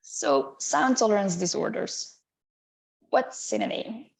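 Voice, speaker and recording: Belgian woman with gravelly edges. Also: mid-range voice, animated, poor audio. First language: not English. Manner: measured, explanatory, occasional hesitations. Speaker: clinician presenting a webinar. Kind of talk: webinar presentation.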